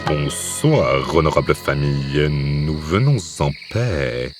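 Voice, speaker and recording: A man plays a dignified serpent messenger from a fairy-tale court. The voice is extremely deep, with a formal, courteous delivery and varied intonation, over prominent music. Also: lively intonation, takes it slowly, full character voice. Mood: ceremonious. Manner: ceremonious, formal, polite. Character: dignified male serpent envoy of a royal court. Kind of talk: fairy-tale cartoon acting.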